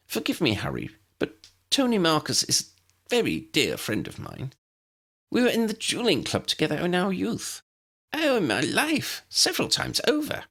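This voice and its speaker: A man voicing a tiny, elderly, flustered and loyal male professor with a squeaky, old-fashioned, exclamatory delivery, in an audiobook story. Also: wildly swooping pitch, moderate pace, gravelly, a bit bright, slightly rough, heavily acted. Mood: earnest.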